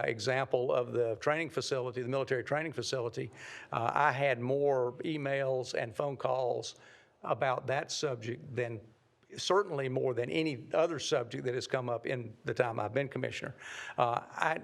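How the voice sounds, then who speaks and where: A man's voice: medium pitch, vocal fry. A man who serves as county commissioner, answering a question at a candidate forum with many hesitations and restarts.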